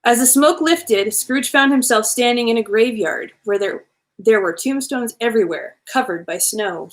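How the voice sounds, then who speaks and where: A woman reading a children's Christmas storybook aloud in an expressive, animated way, with playful asides. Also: a little high, normal speed.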